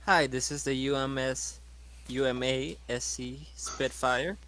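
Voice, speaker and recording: A man's voice: medium pitch, fairly even intonation, unhurried, fairly bright tone. A man playing a tabletop role-playing game casually in character, over a slightly noisy recording.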